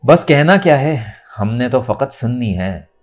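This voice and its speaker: Narrator as soft-hearted, amorous older gentleman lawyer, courtly and animated, music beneath, for audio fiction. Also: medium pitch, a little slow, lightly acted. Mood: flirtatious. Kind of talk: dramatic audiobook character acting.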